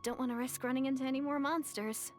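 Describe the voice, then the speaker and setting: A woman voicing a shy, earnest young village girl who speaks softly and hesitantly. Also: high-pitched, fairly even intonation, quick pace, soft background music, lightly acted. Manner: soft-spoken, hesitant and bashful. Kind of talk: game dialogue.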